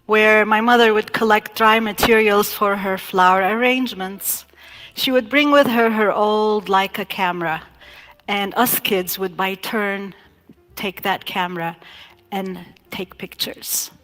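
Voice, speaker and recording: A woman from Iraq telling her family's story in a talk, measured and reflective, pausing often. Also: mid-range voice, fairly even intonation, a bit bright. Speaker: speaker giving a talk. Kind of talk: slow personal storytelling talk.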